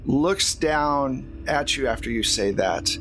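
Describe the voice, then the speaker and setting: A man with a mid-pitched voice runs a tabletop game as its game master. He narrates casually and takes his time over the words. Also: expressive, slow, noisy background.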